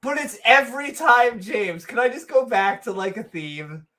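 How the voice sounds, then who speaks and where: A woman co-hosting a comedic podcast, sarcastic and animated, full of asides. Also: on the high side, moderate pace. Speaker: podcast co-host. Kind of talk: casual comedic chat.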